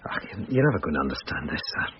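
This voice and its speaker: An actor playing a hungover, apologetic police detective sergeant in a radio drama, halting and deferential. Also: male voice, very deep voice, big pitch swings. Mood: earnest, muddled. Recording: dull lo-fi radio audio, faint noise.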